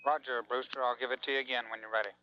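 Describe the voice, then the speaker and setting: A man's voice: mid-range voice, flat delivery, rapid-fire, no echo. A man speaking over a radio link as a mission control communicator, giving courteous, clipped procedural calls. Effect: radio transmission. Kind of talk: procedural spaceflight radio chatter. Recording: muffled lo-fi 1980s radio link.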